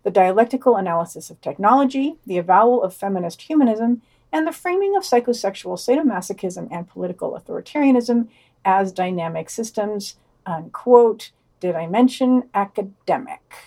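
A woman's voice: medium pitch, animated, fairly quick, darkish tone. Dry, sardonic podcast host woman. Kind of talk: reading news summaries with wry asides.

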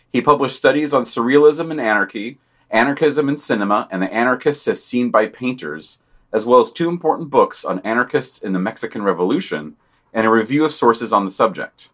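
A man's voice: mid-range voice, a bit bright. A man co-hosting a podcast, reading a scripted news digest with quotations and brief asides. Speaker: podcast co-host.

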